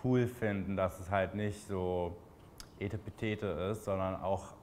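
A man in his twenties with a Berlin accent, answering interview questions in a relaxed, chatty way with many fillers. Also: deep voice, measured pace, slight background noise. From Berlin, Germany.

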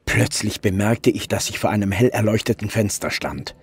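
An actor playing a brooding middle-aged police detective, narrating his case in a measured way for a crime radio drama. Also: lowish voice, breathy edge, no echo. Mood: tense, measured. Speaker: narrator and lead investigator. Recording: music bed under voice. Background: music.

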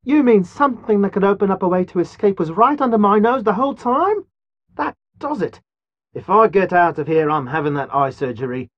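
A man's voice: sits high, big pitch swings, a little fast, no room sound, lo-fi sound. An actor voicing a flippant, nearsighted, hungry Englishman trapped underground in a video game, with a wry, wordy manner. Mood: exasperated. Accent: British. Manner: wry, wordy, posh turns of phrase. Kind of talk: video game character dialogue.

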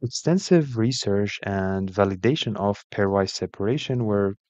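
Low-voiced man narrating, slightly muffled. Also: moderate pace, a little mellow, no room sound. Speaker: presenter. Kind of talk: scripted explainer narration.

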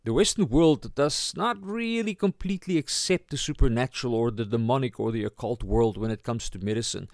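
A man with a South African accent giving an informal sermon as a pastor, halting as he searches for words. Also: medium pitch, gravelly, a little mellow, no echo, clean studio sound. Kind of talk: informal sermon, Bible teaching.

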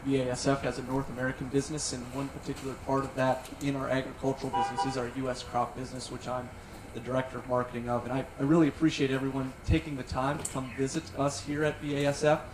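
A middle-aged man, a marketing director, speaking in a polished corporate way on a panel into a handheld mic in a tent. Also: mid-range voice. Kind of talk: panel discussion. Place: large tent at an outdoor event. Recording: roomy sound from some distance, faint noise.

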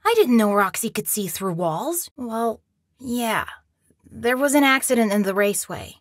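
A woman's voice: on the high side, animated, unhurried, no room sound, clean studio sound. A woman voicing a young boy in a video game, scared but plucky and resourceful, speaking in an earnest, anxious way. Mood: wary, curious.

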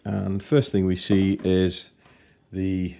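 A man in his forties giving a chatty, unhurried model kit review at his kitchen table. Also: sits low, monotone, creaky, mellow, slight background noise. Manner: chatty and unhurried, with fillers and self-corrections. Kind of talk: casual unboxing review.

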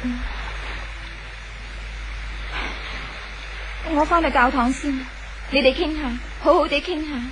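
A woman playing a gentle, devoted sweetheart in a 1970s radio drama, earnest and conciliatory, with a Hong Kong Cantonese accent. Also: high-pitched, a little fast, close to an everyday voice. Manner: soft-hearted, pleading, earnest. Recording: noisy old radio drama recording.

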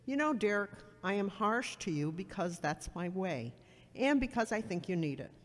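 A woman's voice: mid-range voice, sing-song, normal speed, close to an everyday voice. A woman acting a blunt, haughty woman who gives sardonic advice in an amateur audio drama. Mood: scornful. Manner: brusque, sardonic, superior. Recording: echoey hall with long reverb.

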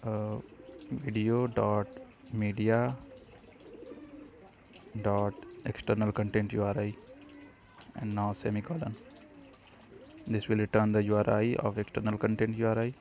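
A man presenting a step-by-step programming tutorial, speaking haltingly in short fragments. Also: on the low side, little pitch movement, darkish tone. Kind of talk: step-by-step screencast tutorial narration.

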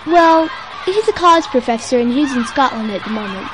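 A woman's voice: high-pitched, animated, a little fast. A woman playing an easygoing, joking female school student in a casual scripted English lesson dialogue. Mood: easygoing, playful. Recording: noisy, with crowd sounds behind.

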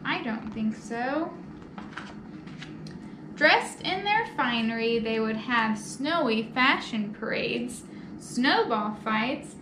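A young woman hosting storytime, reading a picture book aloud to children and addressing young listeners with simple questions. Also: high-pitched. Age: young adult. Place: indoor children's library room. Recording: roomy echo, clear background noise.

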